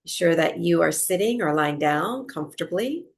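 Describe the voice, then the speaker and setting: A woman teaching breathwork, guiding a meditation in short, unhurried phrases with long pauses between them. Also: on the low side, expressive, breathy edge. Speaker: breathwork and yoga nidra teacher. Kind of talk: guided meditation, slow soothing instruction.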